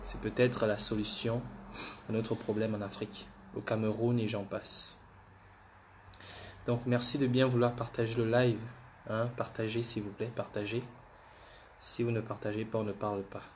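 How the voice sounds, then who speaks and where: A man's voice: fairly low pitch, flat delivery, normal speed, pauses often, a little hiss or hum. A man who has the flu, hosting a political livestream, repeating himself, restarting words and urging viewers to share. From Gabon. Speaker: livestream host.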